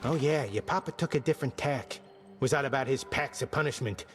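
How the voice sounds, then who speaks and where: An actor playing a gruff, joking male skeleton commander who trains fighters and mixes lofty old words with slang, delivered deadpan. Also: medium pitch, brisk, long reverb tail, faint music behind, natural voice. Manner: deadpan jokes mixing archaic and slang words. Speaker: combat trainer.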